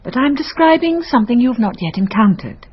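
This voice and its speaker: An actress playing a worldly French countess and storyteller in a radio drama, husky, aristocratic and drily ironic. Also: female voice, a little high, lively intonation, a little fast, highs cut off, slight background noise, close to an everyday voice. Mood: indulgent, proud.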